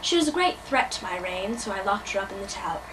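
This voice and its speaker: Teen boy doing a falsetto woman, prim, swooping high voice, far mic, hissy noise. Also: very animated, quick pace. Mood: defensive. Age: teens. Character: falsetto nurse and Queen Mary, women. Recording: distant mic, noisy room.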